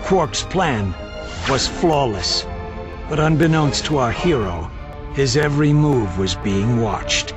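An actor narrating a video game superhero adventure in a pompous, mock-heroic, dramatic way. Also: male voice, medium pitch, lively intonation, slow. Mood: grandiose. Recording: loud music under the voice.

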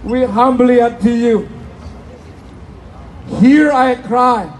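A man with an Indonesian accent preaches and prays in broken English at an outdoor plaza rally. His voice sits very high and his pace is slow and deliberate. Faint noise runs under a phone recording. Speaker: speaker at a rally. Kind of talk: impassioned rally speech and prayer. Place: outdoor city plaza with a crowd.

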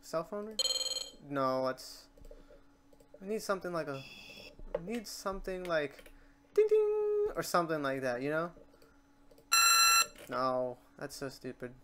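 A tutorial host chatting informally and thinking aloud in a meandering way while working through software steps. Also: mid-range voice, sing-song, measured pace, pauses often. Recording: noisy room, keyboard and mouse clicks. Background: typing and mouse clicking.

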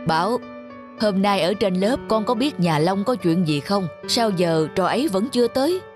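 A woman voicing a patient, wise village father and teacher in a moral cartoon, speaking in a measured, instructive way. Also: mid-range voice, lively intonation, close to an everyday voice. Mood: concerned. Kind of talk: cartoon dialogue, moral storytelling. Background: music.

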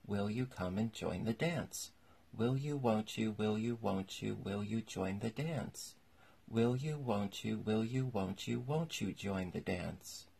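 Man acting a low, mournful sea turtle creature. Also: takes it slowly, creaky, a little mellow, breathy edge, exaggerated voice. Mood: solemn, sad. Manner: mournful, solemn, recitation-like. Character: melancholy old sea turtle creature, sighing and sentimental.

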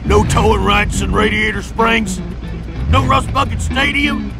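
A man's voice: high voice, unhurried, gravelly, over loud music. A man playing a hot-tempered, menacing monster truck villain who bellows and rants in a video game. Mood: enraged. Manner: bellowing, ranting.

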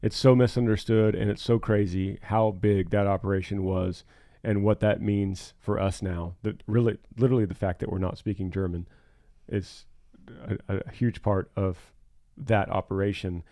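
Earnest man with a warm low voice. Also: fairly even intonation, moderate pace. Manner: earnest, emphatic and reflective. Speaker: podcast co-host. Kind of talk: casual podcast talk.

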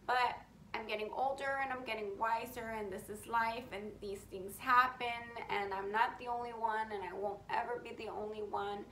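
A young woman with a deep, raspy voice, talking openly to camera from her bedroom in a casual, rambling way with lots of "you know". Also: steady tone, normal speed, a bit bright, roomy sound, slight background noise, poor audio. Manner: open, rambling, heartfelt, many fillers like "you know". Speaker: beauty influencer. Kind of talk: candid sit-down vlog to camera. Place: bedroom at home, indoors. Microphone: camera in front at close range.

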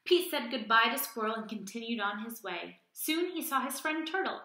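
High, bright-voiced woman reading to children. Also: moderate pace, echoey room. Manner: animated, addresses children with simple questions. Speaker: teacher reading aloud to children. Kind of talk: picture-book read-aloud for young children. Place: small indoor room with plain wall.

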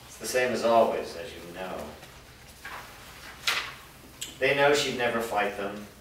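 An actor playing an old village blacksmith in a staged fantasy reading, plainspoken, guarded and defiant. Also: male voice, on the low side, lively intonation, moderate pace, close to an everyday voice. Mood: defensive. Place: indoor performance room before a small audience. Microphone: far camera. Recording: echoey hall, distant mic, noisy.

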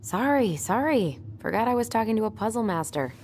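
A woman's voice: medium pitch, lively intonation, brisk, darkish tone, a little hiss or hum, lightly acted. A woman playing a wry, teasing young twin sister in an understated, naturalistic video game style. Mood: gently teasing. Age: young adult.

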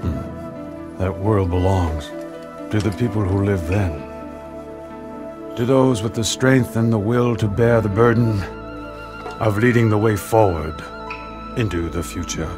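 An actor playing a solemn, enduring middle-aged samurai lord in a dramatic English game dub, speaking in a weighty, deliberate way. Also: male voice, lowish voice, natural voice. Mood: reflective. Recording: dramatic music behind the voice.

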